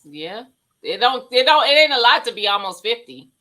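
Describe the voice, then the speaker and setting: A woman's voice: a little high, sing-song, moderate pace, sharp tone. A woman in her forties talking casually in a group chat. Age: 40s.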